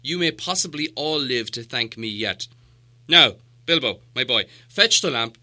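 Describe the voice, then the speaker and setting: Stern old wizard done by a guy reading a storybook, commanding, really sharp bright voice. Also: medium pitch, animated, measured pace. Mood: stern, angry. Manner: emphatic, authoritative.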